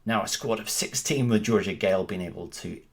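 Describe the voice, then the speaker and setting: A man's voice: lowish voice, brisk. A Scottish man hosting a sports podcast, asking rambling questions with many restarts. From Scotland. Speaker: podcast host. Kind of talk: conversational sports interview.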